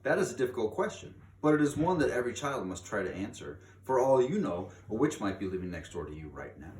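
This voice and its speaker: Male storyteller for children's read-aloud, lowish voice, big swings in pitch, theatrical emphasis; recorded at a distance from the mic. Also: big pitch swings, a little mellow. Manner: theatrical, emphatic, drawn-out key words. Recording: distant mic, echoey room, background noise.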